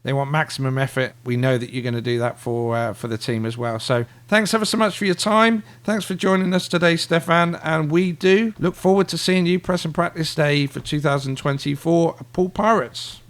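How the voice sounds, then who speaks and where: A man's voice: a little high, fairly quick, few pauses. A man hosting an interview, reading out fan questions in an upbeat, friendly way.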